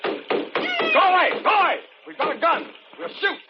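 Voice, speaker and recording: An actor playing a sensible, reassuring husband who gets rattled, in 1940s radio mystery style. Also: male voice, very high voice, huge pitch swings, unhurried, creaky, exaggerated voice. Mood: alarmed, threatening. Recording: noisy, muffled vintage radio drama recording.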